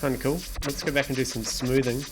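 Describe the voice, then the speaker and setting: A man's voice: mid-range voice, flat delivery, fast, rough recording. A man hosting a casual music production tutorial, thinking aloud as he talks through each step in an exploratory way. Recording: electronic music playing behind voice. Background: electronic synth sounds and music.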